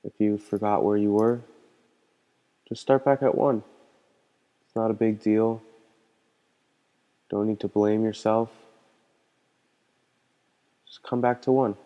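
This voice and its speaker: A man leading a guided meditation as a teacher, speaking in a calm, gentle, unhurried way with pauses. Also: lowish voice, dull audio. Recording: long hall-like reverb.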